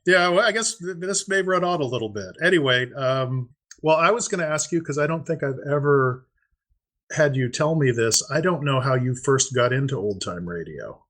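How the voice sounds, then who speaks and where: A man's voice: mid-range voice, expressive, normal speed, fairly bright tone. A man in his fifties, chatting casually as the co-host of a podcast. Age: 50s. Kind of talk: casual chat about old-time radio.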